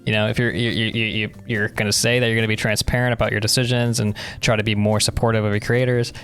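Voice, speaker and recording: A man's voice: fairly low pitch, flat delivery, fast talker, a bit bright, faint music behind. A young man co-hosting a podcast, chatting casually and giving opinions in an explanatory way. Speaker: podcast co-host. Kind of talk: casual conversational discussion.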